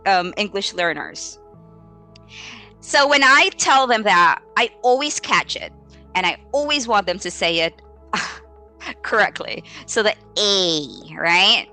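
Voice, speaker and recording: A Filipino-accented woman with a bright, forward voice teaches informally on a livestream. She takes her time, often halting to think aloud and hunt for the right word, then offers spoken examples. Soft music plays faintly underneath. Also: mid-range voice. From Philippines. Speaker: English teacher hosting a live Q&A. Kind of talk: informal live teaching advice.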